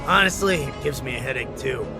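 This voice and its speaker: A man's voice: mid-range voice, expressive, fairly quick, natural voice. A man voicing a conciliatory patrol officer in a video game, giving explanations in a polite, orderly way. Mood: frank, explaining. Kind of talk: English video game voice acting. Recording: music behind the voice. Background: game music.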